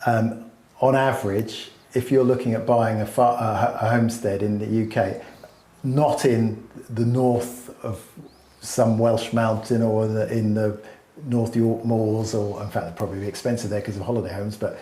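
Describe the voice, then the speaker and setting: A man's voice: on the low side, steady tone, moderate pace, dark tone, reverberant, low-quality recording. A man explaining land buying at length in a casual chat, with many fillers and restarts. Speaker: smallholding expert.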